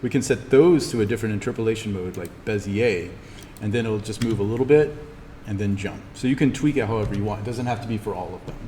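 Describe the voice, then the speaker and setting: A man teaching an introductory animation class, talking informally through software steps and thinking aloud as he demonstrates. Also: on the low side, fast talker. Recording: steady noise behind the voice.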